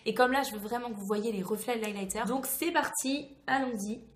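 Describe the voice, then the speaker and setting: An echoey room surrounds a fairly high-pitched woman. Her voice is hoarse, as if just back losing it. She chats casually and enthusiastically, like a beauty vlogger talking straight to camera. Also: brisk. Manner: chatty, enthusiastic. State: hoarse, recovering from lost voice. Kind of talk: casual product review to camera.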